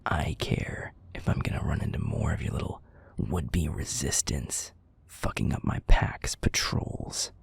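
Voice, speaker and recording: Male actor as bitter werewolf alpha for audio drama: very deep, gravelly, threatening, commanding. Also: unhurried, hardly any pauses, no room sound, whispered, exaggerated voice. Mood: weary, cold contempt. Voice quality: gravelly, breathy growl. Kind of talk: audio roleplay drama acting.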